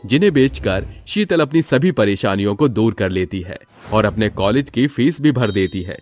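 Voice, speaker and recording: Low, lively male story narrator.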